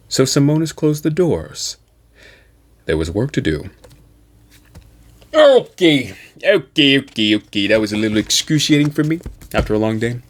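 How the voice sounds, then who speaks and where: A man reading aloud and chatting as an amateur host, halting and self-deprecating in manner. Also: on the low side. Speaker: amateur audiobook reader and channel host. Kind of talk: narrated novel reading and casual vlog chat.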